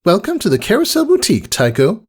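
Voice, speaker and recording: A man's voice: high-pitched, wildly swooping pitch, fast, husky, pitched above the performer's own voice, heavily acted. A man voicing a gracious, dramatic grown lady pony from a cartoon. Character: gracious, dramatic lady pony, adult female boutique owner.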